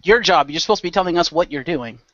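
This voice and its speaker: Higher-voiced man narrating, dull lo-fi audio. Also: animated, fast talker, fairly bright tone, dry recording. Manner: informal, mildly profane. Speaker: game master. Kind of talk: casual improvised narration of a tabletop-style role play.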